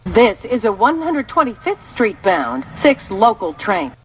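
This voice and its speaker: A woman makes a scripted train announcement, heard from some distance through clear subway noise. Her pitch moves in a lively, sing-song way. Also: unhurried, highs cut off. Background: rumbling subway train.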